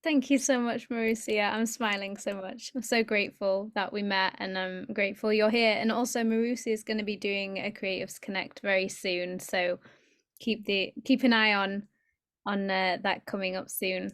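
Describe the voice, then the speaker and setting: A woman's voice: medium pitch, steady tone, moderate pace, a bit bright. A woman hosting an online group on a video call, upbeat and effusive as she invites people to introduce themselves.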